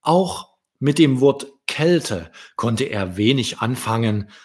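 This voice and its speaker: A man in his thirties reading a story aloud to young children, gently addressing the listener directly. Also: mid-range voice, animated, slow. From Germany. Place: small room with wood-panelled wall. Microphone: desk microphone with pop filter, close.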